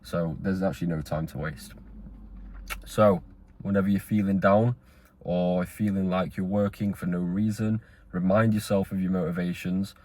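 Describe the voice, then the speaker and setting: A young man in his twenties with a very deep, low voice. He talks to camera in an earnest, rambling way, with fillers and restarts. The tone is a motivational monologue. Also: steady tone, moderate pace, darkish tone. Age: 20s. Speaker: vlogger. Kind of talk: motivational talking-head monologue.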